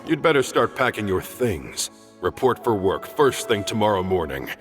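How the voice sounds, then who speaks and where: A man's voice: on the low side, very animated, moderate pace. A stern old teacher in a game scene, scolding a colleague with biting sarcasm. His delivery rises and falls with energy over background music.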